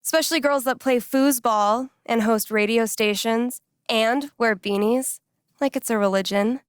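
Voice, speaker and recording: A woman's voice: a little high, a little slow, clean tone, no echo, clean studio sound, lightly acted. An actress playing a wry, casual young woman in a video game, teasing and loyal. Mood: playful, teasing. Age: young adult. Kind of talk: naturalistic video game dialogue acting.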